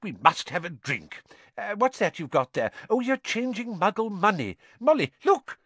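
A man voicing a mild middle-aged father in a storybook reading, eager and boyishly excitable. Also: high-pitched, a little slow, no echo, pitched above the performer's own voice, heavily acted. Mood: delighted.

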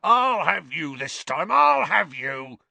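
A man's voice: lowish voice, huge pitch swings, slow pace, vocal fry, bright, forceful tone, dry recording, full character voice. A man voicing a furious, spiteful old school caretaker in an audiobook, wheezy and strained as he rants. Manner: ranting, muttering, roaring.

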